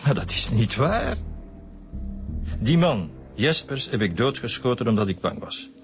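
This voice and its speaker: A man's voice: mid-range voice, sing-song, unhurried, soft background music. A man with a Flemish accent playing an aging career thief and smuggler in a radio drama, confessing in a colloquial, self-justifying way. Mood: defensive, confessing. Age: older.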